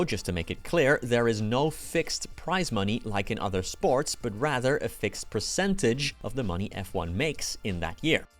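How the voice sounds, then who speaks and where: A man presenting scripted sports news as the host of an online video. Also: medium pitch. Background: background music.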